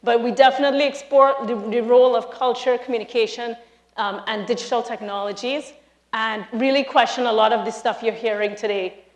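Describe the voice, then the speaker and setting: A woman from Trinidad with a Trinidadian accent, a lecturer giving a lively, emphatic live talk to an audience in a large hall. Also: a little high, brisk, dull audio. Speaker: speaker, university lecturer.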